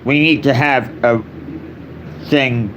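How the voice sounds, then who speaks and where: A man delivering a solemn, improvised personal address in short, repetitive phrases with long pauses between them. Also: medium pitch, highs cut off, noisy background. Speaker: speaker giving a personal address.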